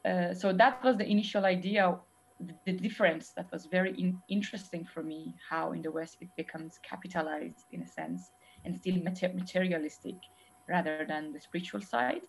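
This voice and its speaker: Thoughtful Iranian woman filmmaker, low voice. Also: low-quality recording. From Iran. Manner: thoughtful, measured, frequent pauses and restarts. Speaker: documentary filmmaker guest. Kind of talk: reflective interview answers.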